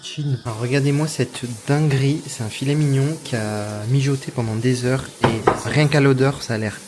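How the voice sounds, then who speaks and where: A man's voice: medium pitch, moderate pace, music in the background. A young man talking casually to camera in a vlog, rambling with lots of fillers and restarts. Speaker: vlogger.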